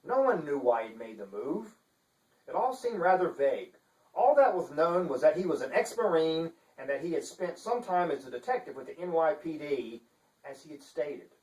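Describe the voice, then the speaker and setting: A man with a pompous, theatrical manner giving a deliberate dramatic reading as an author. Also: a little high, big pitch swings, a little mellow. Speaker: author reading his novel. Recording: echoey room, distant microphone.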